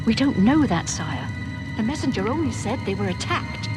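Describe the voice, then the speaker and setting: Firm, commanding guard captain. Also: female voice, fairly high pitch, very animated, normal speed, over loud music. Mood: controlled. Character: brisk, authoritative female guard captain. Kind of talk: fantasy video game acting.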